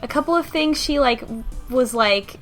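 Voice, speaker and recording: A woman's voice: high voice, animated, normal speed, loud music behind the voice. A woman talking casually in a group discussion about a game, rambling with many restarts and fillers. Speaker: podcast co-host discussing a game.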